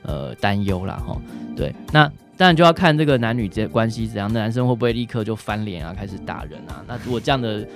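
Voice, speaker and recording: Taiwanese guy doctor answering questions, talks fast, kinda low voice, little tag sounds after phrases, bit of guitar in the back. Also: animated. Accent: Taiwanese Mandarin. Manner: frequent trailing particles, role-plays examples in passing. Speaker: doctor, guest expert. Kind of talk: informal question-and-answer advice. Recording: music behind the voice.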